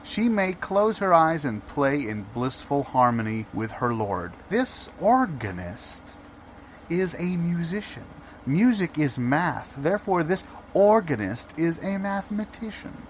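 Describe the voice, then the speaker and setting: A sardonic, pedantic man played by an improv actor with a fairly high, very animated voice. He argues with mock solemnity in an improvised comedy, with light noise behind him. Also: a little slow. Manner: mock-solemn, wry, interjecting. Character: sardonic, pedantic debater defending an amateur sleuth. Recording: background noise, informal non-studio room.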